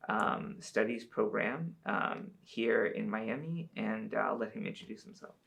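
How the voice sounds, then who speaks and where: A man's voice: fairly low pitch, measured pace, gravelly, fairly bright tone. A man hosting a podcast interview, asking questions in a hesitant way with many fillers.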